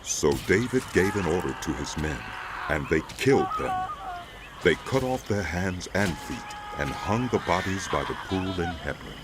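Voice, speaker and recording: A man's voice: deep voice, noisy background. A man narrating a dramatized scripture as scripted storytelling.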